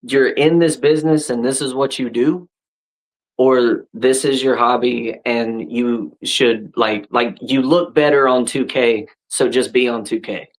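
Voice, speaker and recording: A man in his thirties talks shop in an easygoing, conversational way, often restarting his sentences and checking in with "right?" His pitch sits in an ordinary middle range, neither deep nor high. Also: steady tone, a little slow, rough recording. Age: 30s. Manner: laid-back, frequent restarts and "right?" tags. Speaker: podcast host and wrestler. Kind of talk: casual chat.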